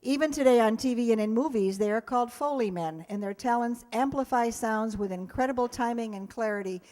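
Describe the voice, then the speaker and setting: A woman's voice: a little high, fairly quick, a little mellow. An American woman, director of a theater group, giving a chatty thank-you speech directly to her audience and cast. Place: live stage performance in a hall. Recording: echoey hall with audience sounds.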